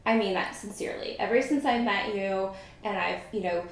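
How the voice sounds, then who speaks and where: A woman hosting a podcast interview, speaking in an effusive, halting way with fillers like "you know". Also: mid-range voice. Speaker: podcast host. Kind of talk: casual interview chat. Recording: very echoey room.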